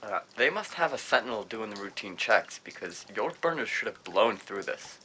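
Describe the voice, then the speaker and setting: A man's voice: lowish voice, sing-song, moderate pace, sharp tone, a little hiss or hum, low-quality recording. A man playing a harried, irreverent hacker in an audio drama, talking in a casual, slangy way. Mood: harried, focused. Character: harried, irreverent male tech hacker feeding hurried instructions.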